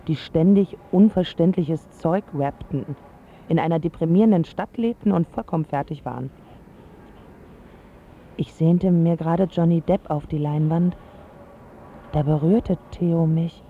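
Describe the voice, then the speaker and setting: A woman's voice: fairly low pitch, narrow, tinny audio, slight background noise. An actress playing a smitten, self-assured teenage girl who narrates her story in a reflective, confiding way for a radio drama. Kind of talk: scripted first-person radio drama narration.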